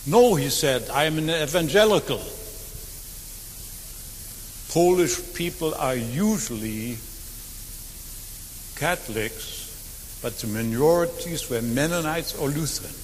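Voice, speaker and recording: A man in his eighties whose first language is German, giving earnest testimony to an audience, slow and deliberate, rising to emphatic swells and dropping to quiet near-whispers. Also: on the high side, lively intonation, long reverb tail, slight background noise, rough recording. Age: 80s. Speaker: war veteran speaker.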